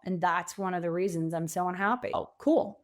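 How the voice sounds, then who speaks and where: A woman speaking conversationally as a podcast guest and coach, telling stories in an animated way with bits of quoted dialogue. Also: fairly low pitch, a little fast. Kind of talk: conversational interview.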